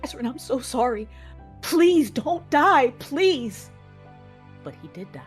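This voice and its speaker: A woman playing a grieving female Jedi and mother, narrating her own tragedy in an emotive, measured audio drama style. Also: sits high, sing-song, husky, faint music behind, heavily acted. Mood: anguished pleading, then grief-stricken. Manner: emotive, measured storytelling with anguished dialogue.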